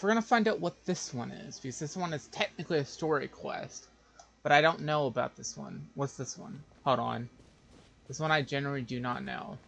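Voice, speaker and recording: Higher-voiced man with a creaky edge, streaming. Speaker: game streamer. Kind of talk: casual live game commentary.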